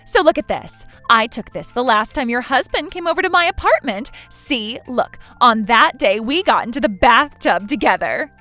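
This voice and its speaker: A woman's voice: a little high, lively intonation, moderate pace, hardly any pauses, pushed and bright, soft background music, pitched above the performer's own voice. A woman voicing a brazen, clingy, tipsy female neighbor in a dramatized story, pleading and provocative. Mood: smug, taunting.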